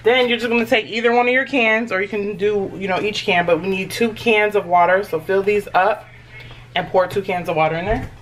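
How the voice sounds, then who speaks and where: A woman's voice: medium pitch, steady tone, a little fast. A chatty woman cooks at home and walks her viewers through each step, easygoing and reassuring. She is picked up by a camera mic across the kitchen. Cutlery and dishes clink in a noisy background. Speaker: home cooking vlogger. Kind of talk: casual cooking walkthrough.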